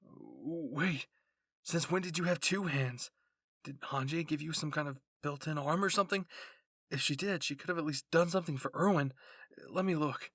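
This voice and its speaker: A man's voice: fairly high pitch, moderate pace, fairly bright tone, no room sound, studio quality. A man voicing an intense, blunt and protective teenage boy soldier in an anime fan fiction roleplay. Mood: startled, urgent.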